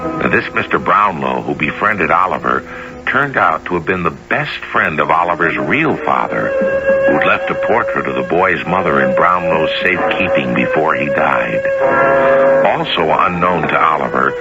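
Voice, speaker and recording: Very deep-voiced male radio drama narrator. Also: wildly swooping pitch, normal speed. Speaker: host and narrator. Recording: dull old radio broadcast sound. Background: prominent music.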